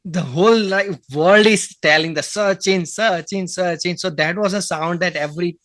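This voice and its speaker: A man hosts the talk, mostly answering in short agreeable words. When he speaks longer, his phrasing is halting. The recording is close and dry. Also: high-pitched, a bit bright, dry recording. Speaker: podcast host. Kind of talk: casual interview chat.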